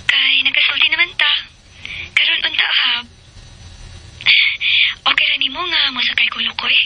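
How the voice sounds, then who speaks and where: Actress playing a high-voiced troubled young wife. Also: female voice, moderate pace, heavy background noise, close to an everyday voice. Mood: evasive. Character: young wife and mother, polite, troubled, secretive. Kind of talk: Filipino radio soap acting. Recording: music bed under radio drama.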